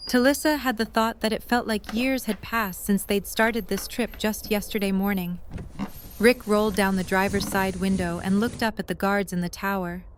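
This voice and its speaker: A woman's voice: mid-range voice, slight background noise. A woman reading scripted third-person narration for an audio drama.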